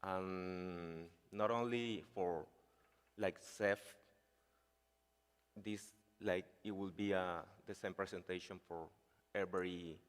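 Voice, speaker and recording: Deep-voiced Chilean man giving a conference talk. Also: steady tone, takes it slowly, long pauses, clean tone. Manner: hesitant, many fillers like "like" and "uh". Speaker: conference speaker. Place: large conference hall. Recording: reverberant hall sound.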